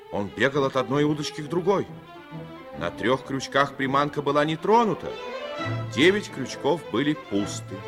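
A man giving scripted dramatic narration in a 1950s radio play. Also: mid-range voice. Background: music.

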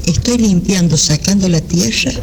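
A woman from Córdoba leaving an unhurried, homely voice message while cleaning. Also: lowish voice, background noise. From Argentina.

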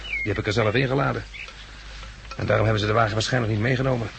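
Wry, gravelly male survivor. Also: lowish voice, steady tone, racing. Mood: wry, relaxed. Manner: conversational, questioning. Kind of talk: 1960s radio drama acting. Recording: noisy old 1960s radio recording.

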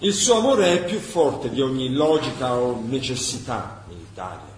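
A man giving an impassioned, deliberate dramatic narration of a historical chronicle. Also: medium pitch, lively intonation. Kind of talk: dramatic scripted documentary narration for radio theatre. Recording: echoey room, faint background noise.

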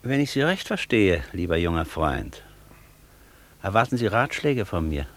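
Old master sage, low-pitched, very lively intonation, aloof, sententious, radio drama. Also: male voice, exaggerated voice. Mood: dry, sententious. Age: older. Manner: sententious, disdainful, deliberately simple. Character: world-weary old master Faust, aphoristic and aloof. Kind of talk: German radio play acting.

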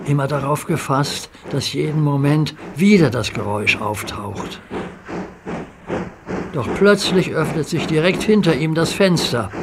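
A man narrating a children's audio story in a gently expressive, storybook way. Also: fairly high pitch, background noise.

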